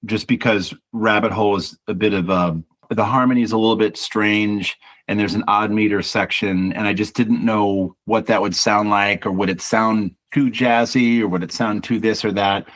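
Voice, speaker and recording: A man with a baritone voice talking about his band's album in a casual interview, reflective and chatty, often restarting with small repeats. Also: fairly even intonation, normal speed, few pauses, fairly bright tone. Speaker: band singer and songwriter.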